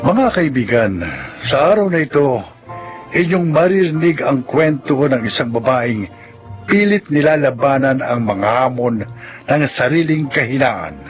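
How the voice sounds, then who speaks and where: A man narrating and announcing for a radio drama in a solemn, measured, dramatic style. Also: medium pitch, animated, faint music behind.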